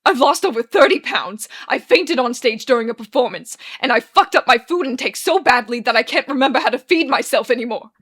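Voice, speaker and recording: A woman's voice: fairly high pitch, wildly swooping pitch, fairly quick, vocal fry, hardly any pauses, bright, forceful tone, slightly rough, full character voice. A woman voicing an anguished, furious young man in a dramatic story, with explosive, wailing outbursts and cursing. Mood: desperate shouting.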